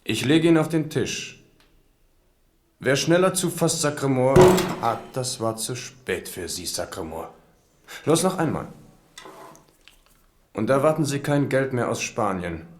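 A bold, impulsive king, wildly swinging pitch. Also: very animated, a little slow, long pauses. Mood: taunting, bold. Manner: theatrical, swinging between hushed and ringing delivery. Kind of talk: 1970s German radio drama acting.

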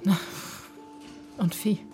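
A woman's voice: drawn-out words, over music. A woman playing a weary, teasing film actress who complains sardonically in a radio drama. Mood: weary, sardonic.

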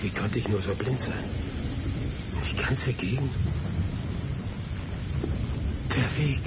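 A man's voice: lowish voice, heavy background noise. An actor playing a brooding, agitated male lawyer in a radio play, muttering to himself and breaking into irritated outbursts. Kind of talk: radio drama monologue, murmured soliloquy and outbursts.

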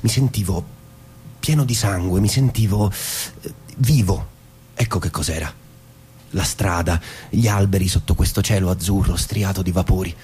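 Actor as low-voiced restless, disillusioned husband. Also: male voice, normal speed, darkish tone, slight background noise, lightly acted. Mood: reflective, intimate. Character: restless disillusioned American husband, intense and bitter. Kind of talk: stage-drama acting with literary monologues. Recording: music behind the voice.

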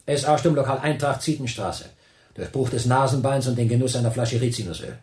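A man's voice: medium pitch, fairly quick, a little mellow, reverberant, lightly acted. A man acting in a German radio play as a submissive camp prisoner, giving clipped, dutiful replies with dry hidden irony. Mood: obedient, guarded.

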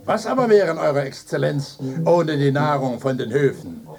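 An actor playing a cheeky, impudent servant in a radio play, speaking in a florid, declamatory way. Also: male voice, fairly high pitch, very animated, normal speed, creaky, music in the background. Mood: florid, pleading. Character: cheeky, theatrical male servant addressing his master. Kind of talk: German radio play acting.

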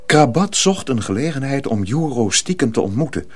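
An actor as the storyteller of a radio drama, narrating a dark folk tale in a measured, scripted way. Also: male voice, medium pitch, sing-song, husky.

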